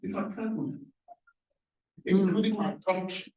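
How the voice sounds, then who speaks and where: A man's voice: high voice, level tone, a little slow, mellow. A man from Cameroon, a professor introducing himself on a panel, speaking emphatically and posing fiery rhetorical questions. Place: large echoey hall. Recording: echoey hall from a distance.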